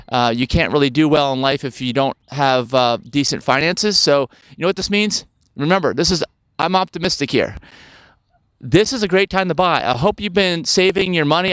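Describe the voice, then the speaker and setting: A man of fifty talks casually outdoors, rambling from point to point and checking in with his viewers. His voice sits a touch high and comes through smooth and clear. The sound is dry and close, with no room around it. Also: fairly bright tone, dry recording. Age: 50s. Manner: chatty, rambling, asks viewers questions. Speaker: health channel host. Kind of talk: casual talk to camera. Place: outdoors in the woods.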